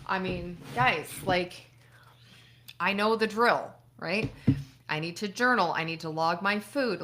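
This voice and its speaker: Chatty, bright-voiced middle-aged woman doctor. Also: lowish voice. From Buffalo, New York. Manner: chatty and self-deprecating, laughs often, swears casually. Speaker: doctor hosting a live stream. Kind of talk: casual live-stream chat answering viewer questions.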